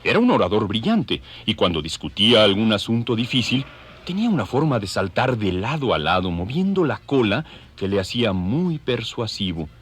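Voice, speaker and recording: A man's voice: on the low side, big pitch swings, moderate pace, husky. A man narrating an audio drama in a scripted, dramatic storytelling style.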